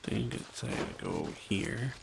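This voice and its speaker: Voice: medium pitch, expressive, takes it slowly, vocal fry, background noise. A streamer giving casual gameplay commentary, often muttering and trailing off. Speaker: streamer reacting to game.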